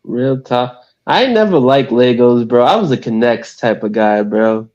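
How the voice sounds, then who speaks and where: A man's voice: mid-range voice, a little slow, darkish tone, lo-fi sound. A man in his mid-twenties chatting casually on a podcast, easygoing and slangy, with lots of "bro" and "real talk.". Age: 20s. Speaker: podcast guest. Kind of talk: casual chat.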